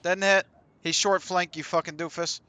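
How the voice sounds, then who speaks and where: A man's voice: high voice, fairly even intonation, moderate pace, bright, forceful tone, smooth. A man in casual team voice chat during a shooter match, joking, swearing and mocking his teammates. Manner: trash-talking, profane, laughs at teammates. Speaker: competitive gamer. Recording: gunfire and game sounds behind.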